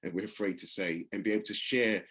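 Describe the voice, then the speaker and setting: A man hosting an online meeting, responding to a speaker in an appreciative, measured way. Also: lowish voice, steady tone, fairly bright tone, breathy edge. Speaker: meeting host. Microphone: video call.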